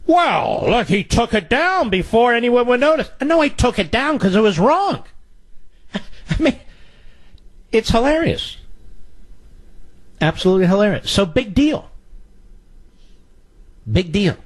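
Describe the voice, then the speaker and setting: A man's voice: very high voice, sing-song, a little slow, pauses often. A male radio talk show host delivering a combative, sarcastic political monologue and taking calls.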